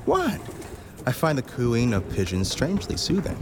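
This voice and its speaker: A man playing a courteous, curious cleric in an audio drama, polite and gently inquisitive. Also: medium pitch, huge pitch swings, moderate pace, noisy background. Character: courteous, curious male cleric charmed by pigeons.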